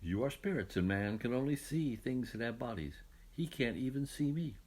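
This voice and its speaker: Storybook God, played by a male reader: calm gentle authority, lively intonation, slightly rough low voice, explaining patiently. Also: normal speed. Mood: reassuring, explanatory. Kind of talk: children's Bible storybook reading.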